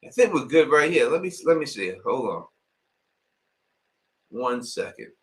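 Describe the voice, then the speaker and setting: A man's voice: medium pitch, big pitch swings, measured pace. A middle-aged man from Queens hosts a casual live-stream Q&A, rambling and leaning on filler phrases. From Queens, New York.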